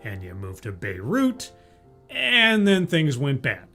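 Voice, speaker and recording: A man streaming live, mocking a talk he is watching with deadpan, stammering asides and greeting his chat. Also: mid-range voice, takes it slowly, soft background music. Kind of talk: sarcastic live commentary.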